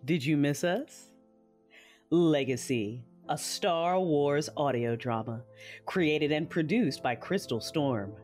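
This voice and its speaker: A woman's voice: sits low, takes it slowly, darkish tone, soft background music. A woman hosting an audio drama, reading a scripted promo and announcement in a playful, chatty way.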